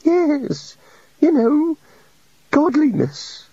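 A timid, mournful god who frets over his own beard and powers, swooping up high and down again in a thin, fussy voice, as a male narrator puts him on. The recording is dry and a little dull. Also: sing-song, extremely slow, mellow, no room sound, full character voice. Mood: wistful. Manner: sad, fussy, querulous. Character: meek, gloomy male island god, bearded. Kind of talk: audiobook character reading.

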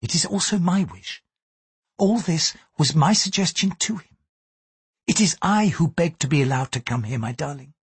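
A man's voice: on the high side, lively intonation, normal speed, husky, no echo, pitched above the performer's own voice, full character voice. A man reading aloud, voicing a poised young Japanese noblewoman with a formal, archaic way of speaking. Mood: earnest. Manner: formal, archaic thee-and-thou speech, apologetic "so sorry".

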